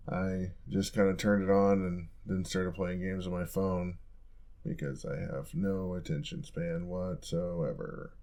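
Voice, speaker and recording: A young male roommate played by a man talks in a low voice with plenty of gravelly fry. He is caring and lightly teasing, reassuring in a casual way. The delivery is intimate audio roleplay in an ASMR style. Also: darkish tone.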